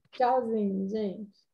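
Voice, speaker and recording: High-voiced Brazilian woman teacher. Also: muffled recording. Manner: chatty, explains with many fillers. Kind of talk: online class lecture.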